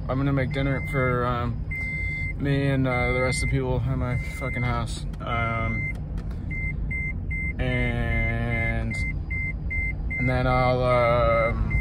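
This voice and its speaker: A man's voice: mid-range voice, noisy background. A young man in his twenties talking casually to a phone camera held at arm's length, rambling with ums and self-corrections as he goes about his day. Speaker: vlogger. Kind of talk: casual daily vlog to camera. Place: house living room, then park outdoors, then inside a car.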